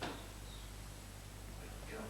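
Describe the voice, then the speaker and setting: A man on stage playing an earnest, conscientious doctor, speaking formally in a careful, halting way. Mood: uneasy, probing. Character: earnest, conscientious male doctor, hesitant and troubled. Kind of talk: stage play acting. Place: small theatre stage. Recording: very echoey theatre, distant mic, noisy.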